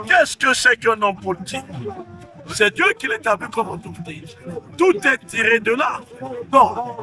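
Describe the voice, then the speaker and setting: Male prophet-preacher, Central African French accent, emphatic sermon delivery, sharp voice. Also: very high voice, poor audio. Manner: emphatic, proclaiming, repeats phrases.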